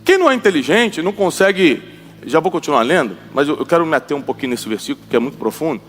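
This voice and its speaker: Male preacher in his forties, emphatic and high-pitched, long hall reverb with faint music under. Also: lively intonation, fast. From Rio de Janeiro, Brazil. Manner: emphatic, repeats lines for the audience to echo. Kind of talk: sermon.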